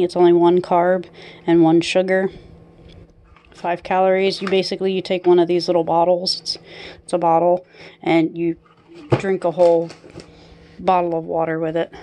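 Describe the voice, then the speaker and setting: A woman in her thirties talks through a home grocery haul in a relaxed, chatty way. Her voice sits a little low, and she fills pauses with ums and corrects herself as she goes. It is recorded on a handheld camera in a home kitchen. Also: slight background noise. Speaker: vlogger and mother. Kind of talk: casual grocery haul walkthrough.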